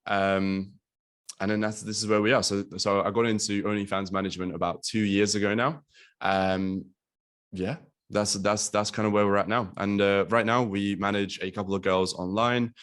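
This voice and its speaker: Young man from Latvia, low voice, casual video call talk, swears and says um a lot. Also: flat delivery, moderate pace, a bit bright. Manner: casual, swears, lots of ums and likes. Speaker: guest business coach.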